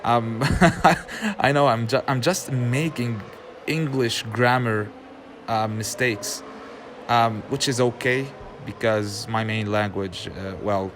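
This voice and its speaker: A man's voice: mid-range voice, takes it slowly, noisy background. Chatty man with an Arabic first language. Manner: chatty, frustrated, laughs often, many fillers. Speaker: gaming channel host. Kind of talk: casual live game commentary.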